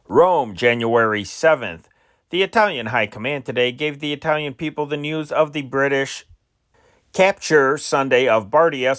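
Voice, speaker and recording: A man's voice: mid-range voice, a bit bright, dry recording. Man reading news reports, very dry sound. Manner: deliberate phrase-by-phrase reading with occasional stumbles. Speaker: amateur news reader and channel host. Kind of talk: reading old wartime newspaper reports aloud.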